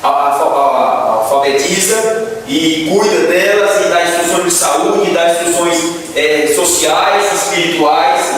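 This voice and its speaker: Brazilian man, church teacher, earnest and repetitive, slightly high voice, distant mic. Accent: Brazilian Portuguese. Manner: earnest, repeats phrases, addresses listeners as brethren. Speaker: Sunday school teacher and deacon. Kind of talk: church class announcements, prayer requests. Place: church classroom. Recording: echoey hall, distant mic, faint noise.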